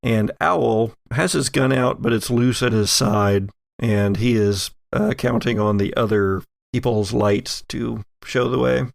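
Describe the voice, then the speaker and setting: A man's voice: medium pitch, measured pace, gravelly, dry recording, clean studio sound. A man acting as game master, improvising narration for a tabletop horror game.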